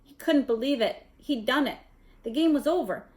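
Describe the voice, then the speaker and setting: A woman reading a children's novel aloud as narrator, in an expressive storytelling style. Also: high voice, normal speed. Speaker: story reader.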